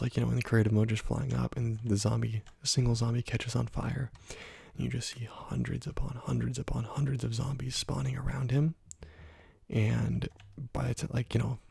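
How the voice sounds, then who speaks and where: Hushed, husky, crackly ASMR man. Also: medium pitch, no echo. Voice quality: hushed, breathy, partly whispered. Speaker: gaming video host. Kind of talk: ASMR let's play commentary, soft-spoken. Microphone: close microphone.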